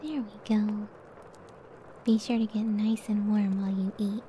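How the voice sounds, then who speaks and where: Very mellow, tender cyclops wife, acted by a woman. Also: medium pitch, flat delivery, measured pace, slight background noise, lightly acted. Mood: tender, caring. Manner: soft, breathy, tender, soothing. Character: gentle, affectionate young cyclops giantess wife. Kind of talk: ASMR roleplay. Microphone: close ASMR microphone.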